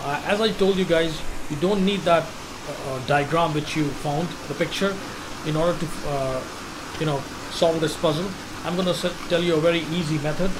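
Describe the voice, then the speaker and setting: A man with a fairly high voice hosts a casual gaming walkthrough. He explains step by step, often repeating himself, over clearly audible game ambience and hum. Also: fairly even intonation, moderate pace. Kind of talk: casual explanatory gameplay commentary.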